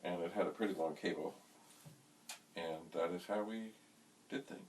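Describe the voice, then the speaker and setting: A middle-aged man giving an unscripted hands-on demonstration, speaking in deliberate, broken phrases with quaint, slightly theatrical wording, recorded on a distant mic. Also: low-pitched. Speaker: vintage computer hobbyist presenting a how-to vlog. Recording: distant mic, faint background hiss.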